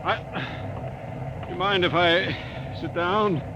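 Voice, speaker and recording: An actor playing an earnest, polite but excitable male archaeologist in a 1950s radio drama. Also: high-pitched, very slow. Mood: polite, hesitant. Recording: dull, noisy 1950 radio recording.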